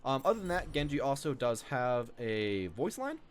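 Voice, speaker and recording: A man's voice: mid-range voice, very animated, normal speed. A man giving casual, unscripted, rambling commentary as the host of a gaming video. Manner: rambling, informal.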